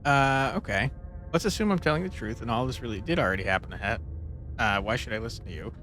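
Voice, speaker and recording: A man giving casual playthrough commentary in a wry, reactive, expressive manner. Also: mid-range voice, quick pace. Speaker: gaming video host. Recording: faint game music behind voice. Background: faint game music.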